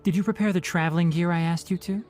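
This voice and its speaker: A man voicing a calm, stern young male forest ranger in a game, speaking in a measured, matter-of-fact, slightly dry way. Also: sits high, lightly acted. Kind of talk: game dialogue voice acting. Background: soft game music.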